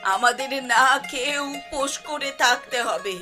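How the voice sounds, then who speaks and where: A woman's voice: high voice, slow pace, music in the background. A woman playing a poor village mother in a cartoon, nagging and exasperated. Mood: scolding. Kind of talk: cartoon dialogue acting.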